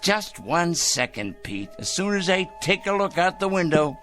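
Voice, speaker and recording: A man's voice: high-pitched, very animated, moderate pace, music in the background. An actor playing a paranoid, smug middle-aged man in a 1960s TV drama, talking theatrically to his pet bird. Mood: exultant. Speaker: fanatical letter-writing crank.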